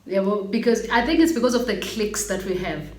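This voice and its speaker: A woman's voice: mid-range voice, fairly quick. A young adult woman with a South African accent, hosting a casual chat and reading out viewer stories, laughing often. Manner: lively, laughs often, code-switches into Xhosa. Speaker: host. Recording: echoey room, faint background noise.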